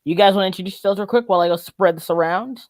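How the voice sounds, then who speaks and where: A man's voice: high-pitched, rapid-fire. A man hosting a casual group chat, with a teasing, jokey way of talking. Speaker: host.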